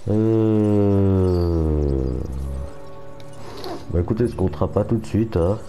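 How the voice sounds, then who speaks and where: Brief: stuffy-voiced man mumbling through gameplay, low and very mellow, faint music under him. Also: slow pace. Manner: muttering, swears at setbacks. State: has a cold. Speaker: gaming channel host. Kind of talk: casual gameplay commentary.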